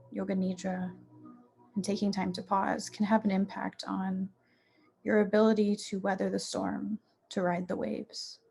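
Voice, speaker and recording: A woman teaching yoga nidra, guiding a meditation in a soothing, unhurried manner with pauses between short phrases. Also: mid-range voice, gravelly, dark tone, slight background noise. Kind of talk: guided meditation. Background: music.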